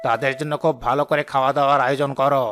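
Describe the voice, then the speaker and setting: A scheming village headman from a cartoon, acted by a man, with loud music behind him. He is a commanding, calculating father with a mid-pitched voice, used to getting his way. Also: little pitch movement, racing, close to an everyday voice. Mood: bossy. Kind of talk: cartoon voice acting.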